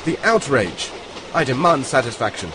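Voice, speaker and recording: A man's voice: medium pitch, very animated, moderate pace, close to an everyday voice. An actor playing an arrogant German spy posing as an Englishman, with a German accent and a pompous, stiffly formal manner. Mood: haughty outrage. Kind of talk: audio comedy drama acting. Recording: train ambience and noise behind.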